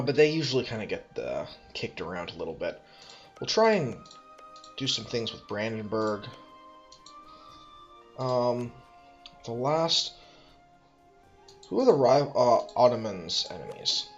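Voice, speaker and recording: Man, low voice, lively pitch, game commentary, thinks aloud, slightly dull recording, faint music behind. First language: English. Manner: musing, self-correcting, dry asides. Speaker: let's play host.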